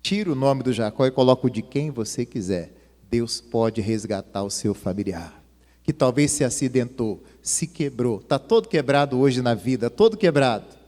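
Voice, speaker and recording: A man's voice: medium pitch, expressive, moderate pace, darkish tone. A male pastor preaching an impassioned sermon, his voice swelling and dropping. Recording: long hall reverb.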